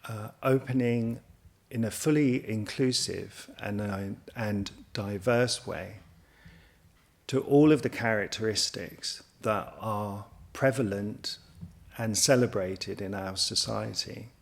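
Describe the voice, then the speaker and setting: A man talks very slowly, with a noticeable creak in his voice. He sounds like a college representative in a promotional interview, choosing formal words in a measured way. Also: lowish voice. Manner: measured, formal, abstract wording.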